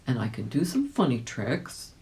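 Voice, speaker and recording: Kindly old gentleman rabbit, woman acting him out, mellow, lilting, polite and earnest, storybook character. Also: a bass voice, sing-song, normal speed, full character voice. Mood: polite, hopeful.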